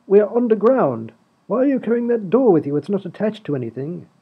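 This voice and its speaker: A man playing a prim, put-upon lord in a comedy radio play, formal and fussy, with a husky voice. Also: sits high, sing-song, brisk, exaggerated voice. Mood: puzzled, exasperated. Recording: tinny phone-like audio, faint music.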